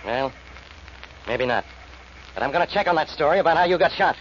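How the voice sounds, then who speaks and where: An actor playing a gruff, badgering police inspector in a 1940s radio crime drama, blustering and accusatory, on a muffled old recording. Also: male voice, a little high, expressive, brisk, a little hiss or hum, lightly acted. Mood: grudging, threatening.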